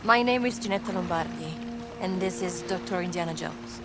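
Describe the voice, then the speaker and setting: Actress as witty adventuring woman, lowish voice. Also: a little fast, over loud music, lightly acted. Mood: composed. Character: witty, candid young Italian woman, adventurer's companion.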